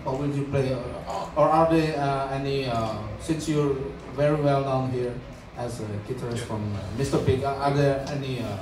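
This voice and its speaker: A reporter asking a question, hesitating often and using many fillers. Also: male voice, mid-range voice, steady tone, moderate pace, hardly any pauses. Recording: very echoey room, distant mic, noisy.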